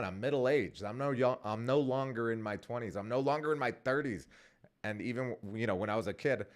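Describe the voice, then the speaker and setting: A man in his forties hosting his own vlog, talking casually and rambling with many fillers and restarts. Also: lowish voice. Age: 40s. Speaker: vlogger and podcast host.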